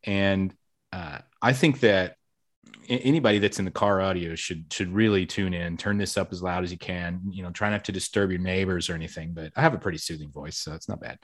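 A man's voice: low-pitched, a little fast. A man hosting a casual podcast interview, talkative and upbeat, with self-deprecating jokes. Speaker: podcast host.

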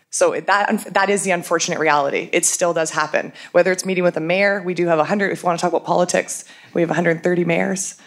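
A woman speaking frankly and forthrightly as a transit executive on a conference panel. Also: fairly low pitch. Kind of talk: panel discussion.

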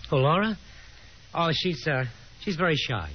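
An actor playing a dry, restless young American son in 1950s radio drama style. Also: male voice, fairly high pitch, very animated, normal speed. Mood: casual. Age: young adult. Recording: muffled, hissy 1950s radio broadcast.

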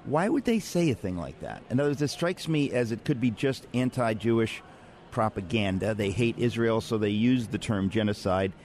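A man's voice: fairly low pitch, animated, moderate pace, darkish tone. A man hosting a conversational radio interview in a wry, candid manner, speaking from a convention center. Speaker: talk show host. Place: on a platform in a large convention center. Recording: noisy crowded convention floor.

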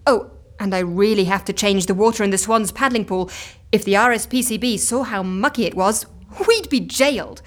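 A woman's voice: medium pitch, normal speed, close to an everyday voice. An actress playing an exasperated, overworked agency woman in an audio comedy, flustered and emphatic in manner. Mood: overwhelmed ranting. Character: exasperated, overworked agency woman barely holding chaos together. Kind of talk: audio comedy fiction acting.

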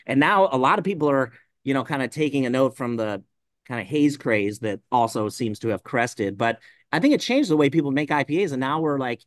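A man's voice: mid-range voice, lively intonation, fairly quick, no room sound. A man from the Pacific Northwest, a brewer talking shop in a casual podcast chat, rambling with lots of "you know" fillers. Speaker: brewer guest.

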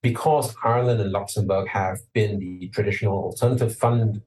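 A man from Singapore talks through his points at length, steady and explanatory, with sudden emphatic lifts. His voice sits on the low side. He sounds somewhat distant from the microphone. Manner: measured, explanatory, emphatic at times. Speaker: lawyer, interview guest. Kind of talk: interview answers.

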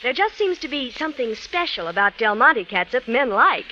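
Bright-voiced housewife. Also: female voice, a little high, very animated, moderate pace. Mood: approving. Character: satisfied, practical housewife in a radio ad. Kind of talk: 1940s radio commercial testimonial. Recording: muffled old radio, faint hiss.

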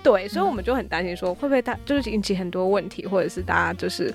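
A woman's voice: medium pitch, racing, faint music behind. A woman with a Taiwanese Mandarin accent being interviewed casually, often restarting and correcting her phrases as she explains. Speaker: interview guest, founder of an audio drama app. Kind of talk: casual interview, recounting experiences.

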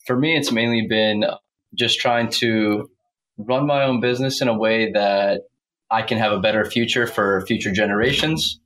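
A man from Croatia, a chiropractor and podcast guest, speaking plainly with some halting restarts. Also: on the low side, fairly bright tone, low-quality recording. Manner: plain, halting, uses fillers. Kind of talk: casual interview answers.

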